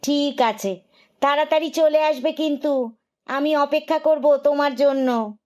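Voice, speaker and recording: A woman's voice: high-pitched, measured pace, darkish tone. A woman playing a poor village housewife, a nagging but caring wife, in a fairy tale cartoon with a homely manner. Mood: homely, urging.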